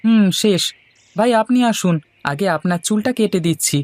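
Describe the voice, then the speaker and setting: A man plays a young husband from a cartoon, a barber with a fairly high voice. He is good-natured and eager to please, talking in a playful, teasing way. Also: moderate pace, a little mellow, dry recording, natural voice. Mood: busy, obliging. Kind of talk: Bengali cartoon dialogue.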